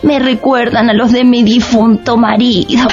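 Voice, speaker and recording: An actress playing a sweet, trusting old American widow in a radio drama, with a homely, chatty manner. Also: female voice, fairly high pitch, level tone, a little slow, lo-fi sound, music in the background. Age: older.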